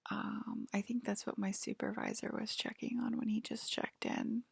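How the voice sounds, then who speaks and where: A woman in a soft-spoken, whispered ASMR roleplay, breathy and halting, explaining things in a hushed, soothing, clerk-like way. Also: fairly high pitch, little pitch movement, no echo, studio quality.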